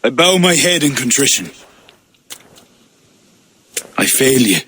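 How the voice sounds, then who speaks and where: A man's voice: medium pitch, sing-song, slow, pushed and bright, a little hiss or hum, full character voice. An actor playing a proud but humbled king in a video game, earnest and formal in manner. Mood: contrite. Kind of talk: cinematic video game acting. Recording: faint game music behind the voice.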